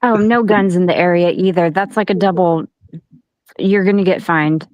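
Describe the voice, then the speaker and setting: A woman's voice: on the low side, moderate pace, a little mellow, dry recording. Playful, teasing woman. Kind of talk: casual group chat on a live stream.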